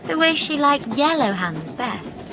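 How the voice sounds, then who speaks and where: Radio drama: young female passenger, questioning and challenging, expressive delivery over clearly audible vehicle noise. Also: sits high, normal speed. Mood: inquiring. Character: serious young woman passenger, curious and sceptical. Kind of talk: radio drama acting, conversational.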